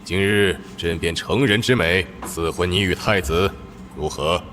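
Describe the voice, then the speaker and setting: Smooth scheming emperor, deep voice. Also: male voice, measured pace, background noise. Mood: genial.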